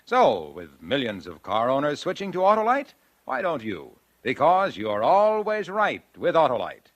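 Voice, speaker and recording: A man's voice: medium pitch, huge pitch swings, moderate pace, fairly bright tone. A man announcing in 1940s radio style, delivering scripted advertising with polished sales patter. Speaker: radio announcer and sponsor pitchman. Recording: old radio broadcast, faint music.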